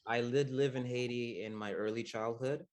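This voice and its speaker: A man in his thirties from the United States, answering interview questions about his work as an acupuncturist in a soft-spoken way, with many pauses and fillers. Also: medium pitch, steady tone. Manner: soft-spoken, many ums, laughs easily. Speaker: acupuncturist guest.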